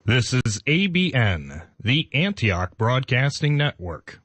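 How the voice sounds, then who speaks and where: A man's voice: mid-range voice, big pitch swings, slow, a bit bright, faint music behind. A man reading a scripted station announcement for broadcast.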